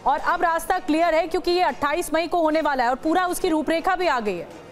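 A woman's voice: extremely high pitch, brisk, faint music behind. A woman news anchor in a television studio, delivering a live breaking-news bulletin with urgent, emphatic repetition.

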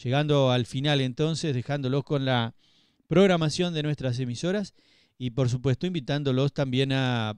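A man's voice: mid-range voice, a little mellow, clean tone, no echo, clean studio sound. Uruguayan man hosting radio chat. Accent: Uruguayan Spanish. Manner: chatty, teasing, quick interjections. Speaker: co-host. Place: small radio studio. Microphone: studio mic on boom arm, headphones.

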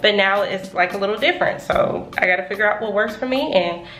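A woman's voice: medium pitch, a little fast, a bit bright, slight background noise. A woman, chatting casually to her viewers in a home vlog and calling them y'all. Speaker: vlogger. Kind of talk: casual vlog chat to camera. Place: home rooms and a parked car.

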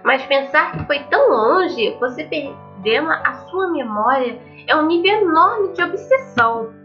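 Woman putting on a high-pitched, coy anime girlfriend. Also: lively intonation, measured pace. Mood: teasing. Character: bubbly, coy, teasing teenage anime girlfriend. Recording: muffled lo-fi mic, game music behind.

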